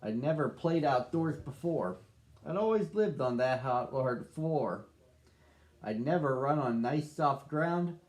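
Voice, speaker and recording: A man's voice: mid-range voice, expressive, takes it slowly, darkish tone. A man narrating as a sad, lonely young male dog in a children's storybook, reading earnestly. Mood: wistful.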